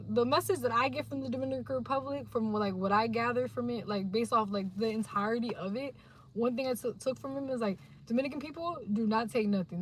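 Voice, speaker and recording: A woman's voice: fairly high pitch, a little hiss or hum. Chatty young woman rambling on a livestream. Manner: rambling, animated, slangy, talks to her viewers. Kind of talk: casual livestream monologue.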